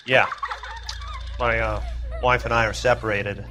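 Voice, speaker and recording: An actor playing a mild, apologetic dad answering hesitantly as a witness in a video game. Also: male voice, on the low side, level tone, a little slow, pushed and bright, slight background noise. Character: apologetic, mild-mannered separated father, a neighbor witness.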